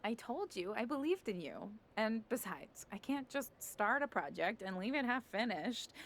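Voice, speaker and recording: Cheeky female documentary maker, teasing, candid, animated intonation, video game scene. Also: mid-range voice.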